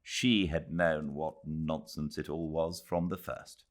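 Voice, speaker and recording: A man's voice: a bass voice, fairly even intonation, slow pace. A man with a British accent narrating a literary novel as an audiobook. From Britain.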